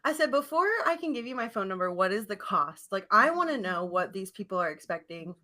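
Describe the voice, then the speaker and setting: A woman with a mid-range voice in a loose, comedic podcast chat. She tells stories in animated fashion, acting out her own replies. Her talk is slangy and she laughs easily. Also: medium pitch, fairly quick. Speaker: podcast co-host.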